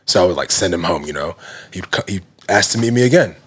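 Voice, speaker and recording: A man in his thirties with a very low, deep voice tells his story in a loose, casual way, leaning on fillers as he goes. He talks as a podcast guest. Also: fast talker, slightly rough. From Nigerian heritage. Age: 30s. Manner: relaxed, heavy use of fillers like "you know" and "like". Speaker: guest, fitness brand founder.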